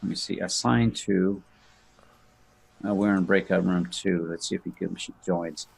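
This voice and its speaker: A man giving a casual software walkthrough to others on a video call. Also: low-pitched, fairly even intonation, normal speed, vocal fry, breathy edge. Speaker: participant demonstrating software.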